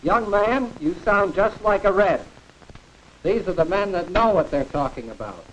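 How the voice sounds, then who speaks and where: A man's voice: high voice, animated, moderate pace, dull audio. An actor playing a pompous, emphatic American pro-business man lecturing in a 1940s educational film with a hissy soundtrack. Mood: self-assured.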